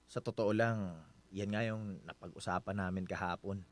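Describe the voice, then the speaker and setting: A man with a Filipino accent playing a gentle, considerate husband in a radio drama, talking in a reassuring, conversational way. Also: fairly low pitch, fairly even intonation, measured pace, natural voice. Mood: reluctant.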